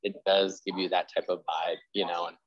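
Low-voiced man in rambling, casual chat. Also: flat delivery, a little fast, lo-fi sound. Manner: rambling, peppered with "like" and "you know". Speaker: interviewer and host; history teacher. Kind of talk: casual chat about genre fiction writing.

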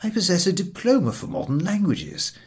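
A man's voice: sits high, wildly swooping pitch, fairly quick, voice pushed up. A man playing a self-important, baffled male scholar in a comic audiobook, pompous and aggrieved. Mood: puzzled, aggrieved.

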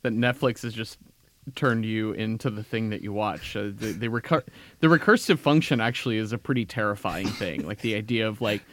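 A man's voice: on the low side, brisk, a bit bright, no room sound. Man rambling in dry studio chat. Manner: rambling, laughs often, many restarts. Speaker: podcast guest. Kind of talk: casual chat.

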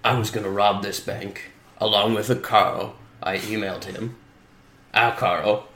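A man's voice: on the low side, fairly even intonation, a little slow, sharp tone. A man playing an earnest, exasperated, hapless bank robber in improvised comedic tabletop roleplay. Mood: confessional. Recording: echoey room with noticeable background noise.

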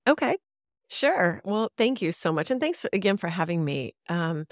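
Chatty woman in her fifties, low-voiced. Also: very animated, normal speed, no room sound, studio quality. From Central Texas, USA. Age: 50s. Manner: reflective, chatty, with easy laughs. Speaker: podcast guest, radio veteran. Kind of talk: casual interview storytelling.

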